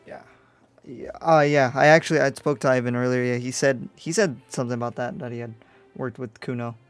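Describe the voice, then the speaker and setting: A man playing a curious, easygoing demon slayer in an improvised anime roleplay, with a halting, attentive way of talking. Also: mid-range voice, fairly even intonation, clean tone, lightly acted. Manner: halting, attentive, murmuring acknowledgements. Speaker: roleplay player. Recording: background music under the voice.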